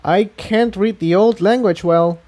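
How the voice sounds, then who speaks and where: Man putting on a high, shy young mare. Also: mellow. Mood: sincere. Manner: hesitant, rushed confessing. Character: shy, guilty young mare, earnest and awkward.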